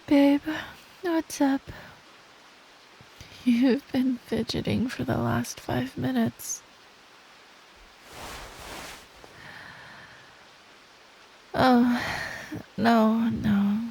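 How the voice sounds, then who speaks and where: A woman playing a sweet, caring, teasing girlfriend in an intimate ASMR roleplay, talking softly and breathily. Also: a little high, a little hiss or hum, rough recording. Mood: drowsy, concerned. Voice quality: soft, breathy. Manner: slow, affectionate, playfully teasing. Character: sweet, teasing, caring girlfriend telling an improvised bedtime story.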